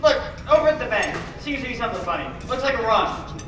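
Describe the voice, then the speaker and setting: A woman's voice: a little high, very animated, quick pace. A young woman, a student actor, playing a folksy, friendly townsman in an old-fashioned radio play. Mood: curious. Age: teenager or young adult. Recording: distant mic, echoey noisy hall.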